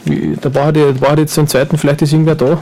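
A man's voice: a little high, level tone, racing, warm tone. A man with an Upper Austrian accent chatting casually as a guest in a radio studio, rambling and joking with lots of fillers. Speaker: guest performer.

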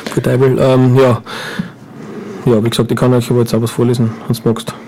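A man's voice: mid-range voice, flat delivery, brisk, mellow, low-quality recording. A man with an Upper Austrian accent chatting casually as a guest in a radio studio, rambling and joking with lots of fillers. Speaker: guest performer.

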